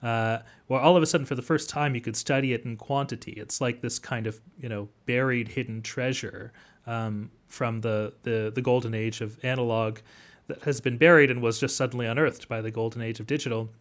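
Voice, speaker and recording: A man speaking as an academic guest in an interview, explaining at length in a halting, thoughtful way. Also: medium pitch, fairly even intonation. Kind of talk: interview conversation.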